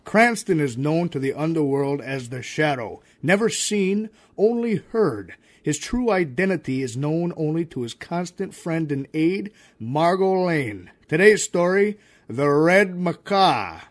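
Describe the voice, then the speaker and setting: Brief: male vintage radio announcer, lively pitch, slightly high, emphatic. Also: a little slow. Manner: grand, emphatic sponsor read.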